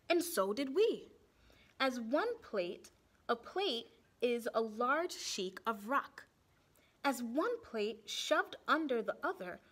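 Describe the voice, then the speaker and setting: A young woman reading a children's picture book aloud expressively, deliberately, pausing to ask and explain. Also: sits high. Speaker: storytime reader for children. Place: small indoor room with bulletin board.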